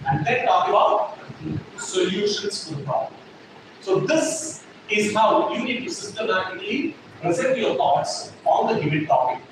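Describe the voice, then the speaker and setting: High-voiced man lecturing a class. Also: big pitch swings, normal speed. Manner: emphatic, repeats points, asks class if clear. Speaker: trainer teaching a class. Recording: echoey classroom, distant mic, noisy.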